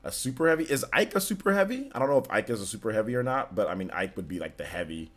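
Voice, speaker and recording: A man's voice: on the low side, very animated, racing, a bit bright. A man in his forties chatting casually as a streamer, loose and filler-heavy, answering chat questions and laughing easily. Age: 40s. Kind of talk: casual livestream Q&A.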